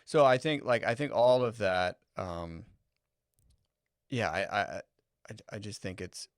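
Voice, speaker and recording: Deep, smooth man with gravelly fry. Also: slow pace, no echo, clean studio sound. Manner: relaxed, thoughtful, hedging. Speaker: podcast co-host. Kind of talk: casual chat.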